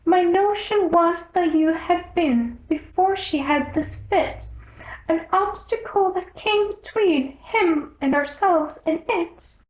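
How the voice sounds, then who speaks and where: A woman's voice: very high-pitched, slow, echoey room, pitched above the performer's own voice, rough recording. A woman voicing a nervous, deferential male rabbit courtier in a storybook reading, in a fussy, sing-song manner. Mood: earnest recital.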